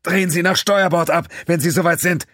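A man's voice: mid-range voice, normal speed, a bit bright, dry recording, clean studio sound, voice pushed up, natural voice. A man voicing a desperate, injured male father who pleads urgently for help.

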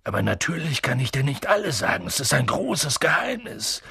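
A reader voicing a huge, gruff half-giant man who blusters loudly but turns hushed and worried. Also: medium pitch, sing-song, moderate pace, no room sound, heavily acted. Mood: low worried. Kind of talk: audiobook reading.

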